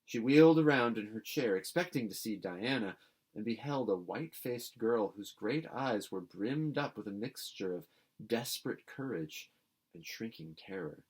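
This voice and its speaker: Expressive male storyteller. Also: lowish voice, moderate pace, husky. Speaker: storyteller reading aloud. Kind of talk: expressive literary narration.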